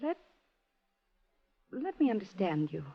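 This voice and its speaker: A woman's voice: medium pitch, sing-song, slow pace, creaky, exaggerated voice. An actress in a 1950s radio drama playing a refined, guarded married lady, plaintive and formal, with an old-fashioned dignified inflection. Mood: defiant. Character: refined, guarded married lady, sensitive former Communist, proud. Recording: muffled lo-fi old radio recording.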